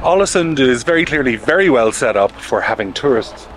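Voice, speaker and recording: A man's voice: mid-range voice, lively intonation, brisk. Chatty man vlogging on travels. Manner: chatty, wry and upbeat. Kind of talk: casual travel vlog narration. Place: outdoors in rain and inside a campervan. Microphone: handheld camera at arm's length. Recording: music playing underneath.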